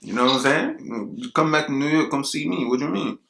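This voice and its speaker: A man's voice: a little high, a little fast, creaky, very noisy. Guy faking a jamaican accent, slangy and flirty, echoey room on a phone. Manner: teasing, flirty, slangy patois put-on. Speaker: prank video host. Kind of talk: putting on a Jamaican accent on a chat app.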